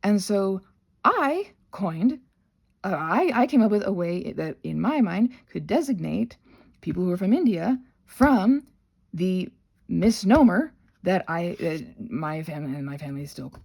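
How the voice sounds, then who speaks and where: Female host, sick, creaky and slightly dark tone; casual, unscripted, halting delivery that keeps correcting itself. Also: on the low side, sing-song. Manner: rambling, halting, self-correcting with many trailing restarts. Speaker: host of a rambling audio channel.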